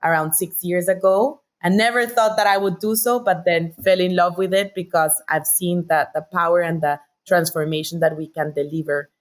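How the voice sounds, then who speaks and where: A woman's voice: on the low side, fairly even intonation, moderate pace, few pauses. A woman with a Colombian accent, a native Spanish speaker, telling her story articulately and enthusiastically as an interview guest. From Bogota, Colombia. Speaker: interview guest, sustainability director.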